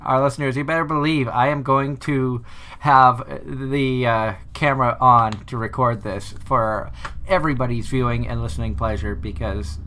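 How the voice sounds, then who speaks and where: A man's voice: medium pitch, steady tone, moderate pace, sharp tone, slight background noise. A man with a Boston accent hosting an anime radio show, chatting in a rambling way with many restarts. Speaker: podcast host. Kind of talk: casual chat.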